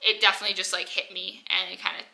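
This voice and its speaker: A woman's voice: mid-range voice, flat delivery, racing, gravelly, sharp tone. A woman in her twenties talking casually to camera in a personal vlog, rambling with lots of "like", stuffy from a head cold. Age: 20s. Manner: rambling, heavy use of "like". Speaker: vlogger.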